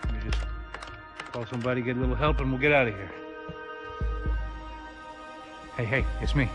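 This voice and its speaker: A man acting a streetwise American buddy in a crime action game. He talks fast at a middling pitch, anxious and urgent. Music runs clearly behind him. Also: close to an everyday voice.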